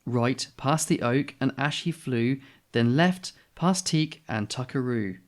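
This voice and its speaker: A man's voice: mid-range voice, slow pace. A man reading a children's story aloud expressively, as the host of a read-aloud. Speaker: storybook reader, channel host.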